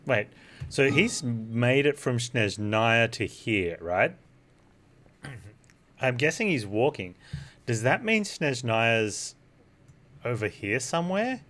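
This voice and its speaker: A woman's voice: extremely low pitch, animated, takes it slowly, pauses often, fairly bright tone, a little hiss or hum. A woman streamer chatting casually over video game play. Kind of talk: casual live-stream chat over gameplay.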